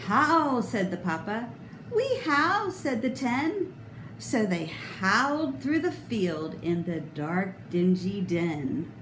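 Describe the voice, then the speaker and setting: Middle-aged female storybook reader, sing-song and very animated, unhurried. Roomy, noisy indoor recording. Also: fairly low pitch. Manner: theatrical, emphatic, sing-song delivery. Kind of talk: expressive children's read-aloud. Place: indoor room. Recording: roomy echo, clear background noise.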